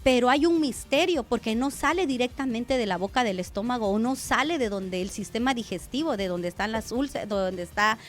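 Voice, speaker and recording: A woman's voice: on the high side, fairly quick, few pauses. A woman pastor giving a conversational radio sermon, often addressing listeners as brother.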